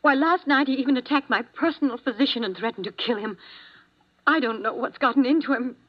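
A frightened, breathy wife. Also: female voice, sits high, brisk. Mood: anxious. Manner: breathless, nervous. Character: frightened anxious wealthy wife. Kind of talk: 1950s radio acting. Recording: muffled old radio broadcast.

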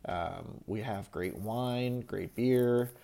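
A man's voice: on the low side, drawn-out words, gravelly, mellow. A man from Seattle hosting a casual chat, talking in rambling, enthusiastic lists. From Seattle, USA. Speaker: podcast host, real estate agent.

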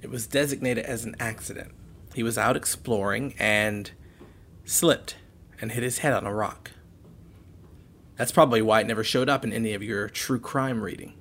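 An actress playing a worried, protective guardian in an audio drama, speaking in a stern and earnest way. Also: female voice, rumbling low, moderate pace, fairly bright tone, husky, exaggerated voice. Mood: grave, subdued. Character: protective, worried adult guardian of a teenage girl. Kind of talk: naturalistic audio drama acting.

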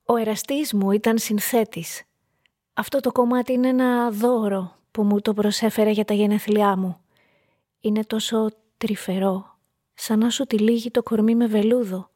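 An actress playing a wistful, polite woman client quietly wounded by lost love, speaking in a soft, measured radio-drama manner. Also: medium pitch, steady tone, natural voice. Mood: wistful, pained. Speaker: actor in a radio play.

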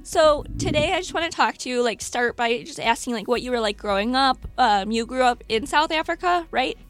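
A woman's voice: sits high, expressive, fairly quick, a bit bright, no echo. A woman hosting a casual podcast interview, asking questions in a friendly, chatty way with many fillers. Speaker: podcast host.

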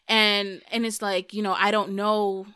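A woman's voice: medium pitch, little pitch movement, measured pace, sharp tone, clear, resonant tone, dry recording, clean studio sound. A young adult woman hosting a podcast, talking bluntly and emphatically in casual chat, swearing freely. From Dominican and Puerto Rican family, raised in the US. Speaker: podcast host.

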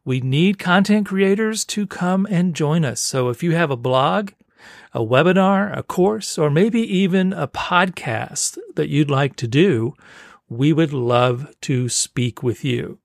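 Friendly podcast host, man, gravelly fry in the voice, dry close recording. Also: fairly high pitch, slow, no echo. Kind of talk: promo read and interviewing.

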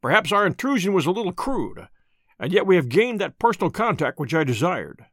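A man reading the part of a calm, courteous gentleman detective in an audiobook story, measured and polite with dry understatement. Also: high-pitched, dry recording, heavily acted. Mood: wry, thoughtful.

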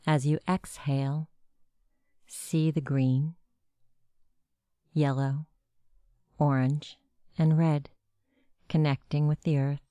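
A woman narrating a guided meditation with gentle, measured instructions. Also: low voice, no room sound.

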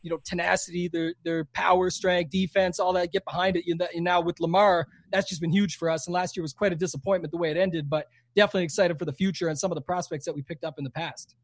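Canadian man, Canadian accent, high-pitched, rambling casual talk. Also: steady tone, fast talker, hardly any pauses, dry recording. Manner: chatty, rambling, lots of "you know". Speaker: podcast guest, fantasy sports player. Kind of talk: casual interview chat.

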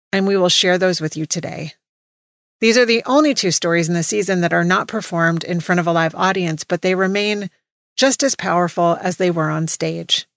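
A woman with a fairly low voice reads scripted podcast narration as the host. The recording is dry and close, with next to no room around her. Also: dry recording. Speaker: podcast host introducing stories.

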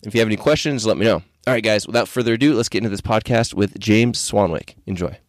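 A man's voice: lowish voice, quick pace, no room sound, studio quality. A man hosting a podcast, speaking casually and informally as he introduces and interviews. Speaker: podcast host.

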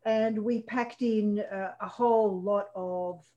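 A woman, a guest who organises paranormal tours, recounting events in a steady, measured way. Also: medium pitch, dull audio. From Australia. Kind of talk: casual chat.

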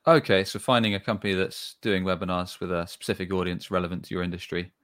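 Deep-voiced male interview host. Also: flat delivery, a bit bright. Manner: brief affirmations, quick follow-up questions. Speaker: podcast host. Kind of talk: interview questions.

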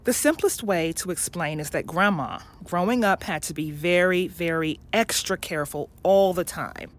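A woman playing a loving, patient, academic mother in an audio drama, explaining things gently and thoughtfully, with some hesitation. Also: on the low side, moderate pace, natural voice. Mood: thoughtful, hesitant.

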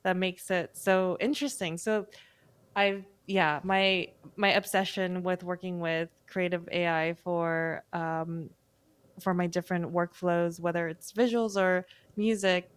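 A woman musician speaking as a guest in a casual panel talk, thoughtful and halting, with many fillers and restarts. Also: fairly low pitch, fairly even intonation, clean tone, no room sound.

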